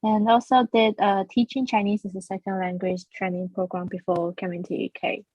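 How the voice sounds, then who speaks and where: A woman's voice: medium pitch, little pitch movement, brisk, darkish tone, slightly rough, no echo, rough recording. A woman from Taiwan, a school founder being interviewed, talking earnestly with frequent restarts. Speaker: guest, founder of a language school. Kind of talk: casual interview.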